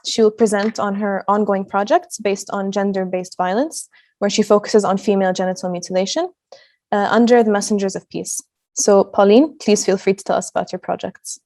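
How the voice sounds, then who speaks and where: Flat-voiced woman moderating a webinar. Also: mid-range voice. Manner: polite, measured, some fillers.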